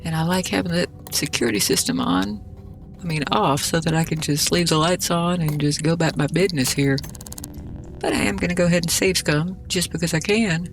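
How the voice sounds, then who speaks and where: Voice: mid-range voice, a little fast, slight background noise. A gameplay host giving casual, rambling commentary, muttering and thinking aloud in a self-deprecating way. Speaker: gaming video host.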